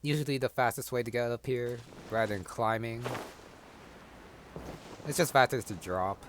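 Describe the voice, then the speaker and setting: A man's voice: mid-range voice, moderate pace, noisy background. A man casually thinking aloud while playing a video game. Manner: offhand, musing, thinking aloud. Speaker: gamer. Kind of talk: casual let's-play commentary. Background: game music.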